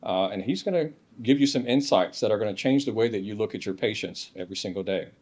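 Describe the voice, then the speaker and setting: A man hosting a conference session, warmly introducing the next speaker with plenty of ums. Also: fairly low pitch, animated, quick pace. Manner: enthusiastic, with frequent ums.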